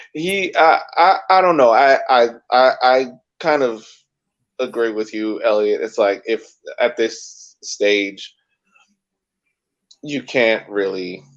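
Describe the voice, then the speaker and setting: Low voiced guy on a podcast, muffled lo-fi mic, stumbles a bit. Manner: hesitant, repeats words when starting. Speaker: podcast co-host. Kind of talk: casual sports podcast chat. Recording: dull lo-fi sound.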